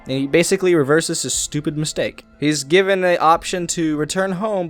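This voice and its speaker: A young man hosting a comedic movie review, crude and mocking. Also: high voice. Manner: crude, mocking, laughs often. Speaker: host.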